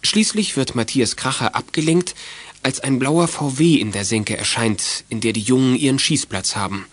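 A man narrating a 1980s children's detective radio drama in a composed, measured storytelling manner. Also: medium pitch, dry recording, natural voice. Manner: measured, clearly scripted storytelling.

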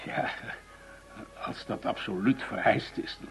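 An actor in a Dutch radio play playing a senior official who mediates in a formal, measured, businesslike manner. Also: male voice, fairly low pitch, fairly bright tone, a little hiss or hum. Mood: calm, firm. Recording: dull, muffled radio drama recording.

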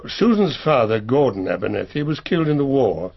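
An actor playing an elderly, proper English family solicitor, speaking formally and precisely in a radio drama. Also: male voice, medium pitch, sing-song, creaky, breathy edge, lo-fi sound, exaggerated voice. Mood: measured, disapproving. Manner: formal, measured, precise, faintly disapproving.

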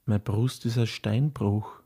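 An actor playing a worn former partisan haunted by war, speaking in brooding, broken phrases in a poetic radio drama. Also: male voice, on the low side, fairly even intonation, slow pace, dark tone, breathy edge, heavily acted. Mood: weary, fervent.

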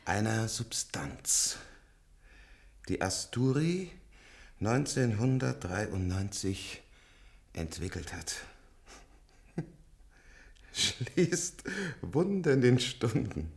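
An ancient man kept alive by transplants, sardonic and imperious. His delivery is theatrical and highly animated, sweeping up and down with bitter irony. The style is that of a science-fiction radio drama. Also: heavily acted. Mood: bitter, brooding. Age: older. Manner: theatrical, chuckling, ironic, drawn-out delivery. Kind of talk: German science-fiction radio play acting.

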